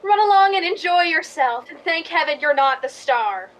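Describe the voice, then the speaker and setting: Casting: actress as imperious leading lady, high-pitched, theatrical and condescending, radio play, light background noise. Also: animated, normal speed, a bit bright. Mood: gracious, condescending. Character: grand, imperious female leading lady, affectedly gracious. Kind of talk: radio drama acting.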